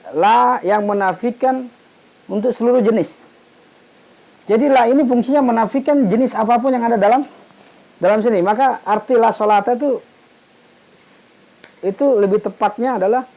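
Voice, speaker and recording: A man's voice: high-pitched, a little mellow, rough recording. A man giving an informal, explanatory religious lesson, posing questions and answering them, often repeating half-said words to finish them. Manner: didactic, asks questions then answers them. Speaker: Islamic studies teacher.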